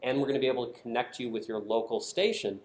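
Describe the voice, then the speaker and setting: A man's voice: mid-range voice, steady tone, fast talker. An American man giving a prepared conference presentation, explaining his points emphatically in short, punchy statements. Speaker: conference speaker, design team member. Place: indoor conference session room. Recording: roomy echo of a presentation space.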